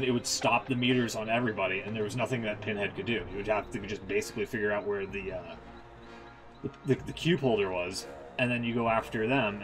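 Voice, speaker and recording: A man's voice: on the low side, a bit bright, poor audio. A man hosting a game stream with casual, opinionated, rambling commentary and some swearing.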